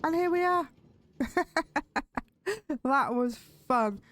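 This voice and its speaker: A woman with a slightly hoarse voice, chatting cheerfully and thinking aloud as she hosts a casual game playthrough. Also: high-pitched, animated, extremely slow, noisy background. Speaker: gaming video host. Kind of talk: casual let's-play commentary.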